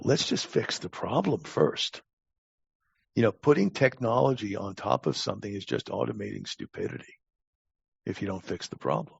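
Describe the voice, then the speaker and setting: Male interview guest, sixties, low husky voice, plain-spoken and opinionated, dull lo-fi recording. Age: 60s. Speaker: guest expert, talent acquisition advisor. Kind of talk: casual interview talk. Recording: muffled lo-fi, roomy sound.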